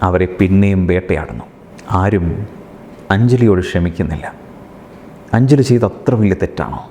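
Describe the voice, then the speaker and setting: A man giving an earnest motivational commentary, speaking rhetorically with deliberate pauses. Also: lowish voice, darkish tone. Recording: long hall-like reverb on voice.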